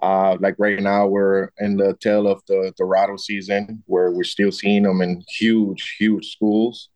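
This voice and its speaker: A man in his late thirties, born in Costa Rica and raised in the American Midwest, answers interview questions in a friendly, explanatory way. His voice sits fairly low and the recording is dry and close. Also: flat delivery, measured pace, a little mellow, no echo, low-quality recording. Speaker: fishing director guest.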